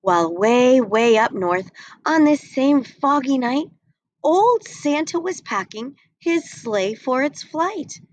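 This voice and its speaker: Young woman reading a kids book slowly, bit high voice, dry webcam sound. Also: no room sound. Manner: expressive storybook reading. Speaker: reader. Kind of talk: picture book read-aloud.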